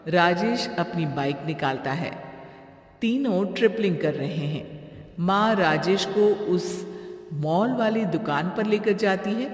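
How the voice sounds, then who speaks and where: A woman's voice: lowish voice, expressive, unhurried, soft background music. A woman reading scripted storybook narration as the narrator of a moral tale. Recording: roomy, long reverb.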